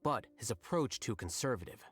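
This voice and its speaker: A man voicing an aloof, rational young scholar in an anime video game, measured, dry and articulate. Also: mid-range voice, animated, a bit bright, slightly rough. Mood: cool, explanatory. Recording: game music underneath. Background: game music.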